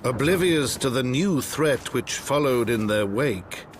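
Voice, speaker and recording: A man's voice: mid-range voice, expressive, measured pace, faint music behind, close to an everyday voice. A man playing a grave storyteller who narrates an epic fantasy game in formal, portentous prose. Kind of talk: dramatic scripted game narration.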